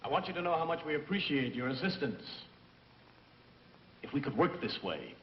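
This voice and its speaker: An actor playing a courteous, determined spaceship captain in a dubbed old sci-fi film, speaking formally. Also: male voice, a little high, big pitch swings, moderate pace, a little hiss or hum. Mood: courteous, earnest. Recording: roomy, muffled old film soundtrack, music.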